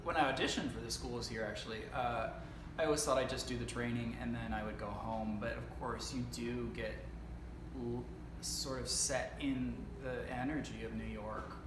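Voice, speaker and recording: A young man from Canada, an actor, talking about his life and work in a casual interview, earnest and reflective, often pausing with "um" and restarting. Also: medium pitch, steady tone. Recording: echoey room with noise behind.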